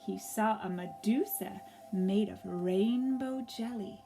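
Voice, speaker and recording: A woman reading a story aloud for young children, gently and expressively, pausing to ask them questions. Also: medium pitch, gravelly, faint music behind.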